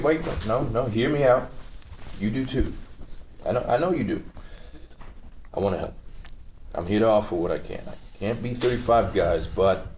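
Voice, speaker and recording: Man acting a slow, low police chief. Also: a little mellow, slight background noise, lightly acted. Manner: halting, placating. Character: hesitant, conciliatory male police chief. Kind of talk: script table read.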